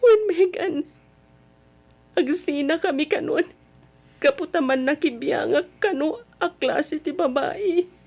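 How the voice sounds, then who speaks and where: Very high-pitched, tearful young Filipina. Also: female voice, takes it slowly, pauses often, darkish tone. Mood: hurt, tearful. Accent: Ilocano Filipino. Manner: tearful, plaintive. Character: heartbroken young woman, betrayed by her boyfriend. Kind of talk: old-time Ilocano radio drama acting.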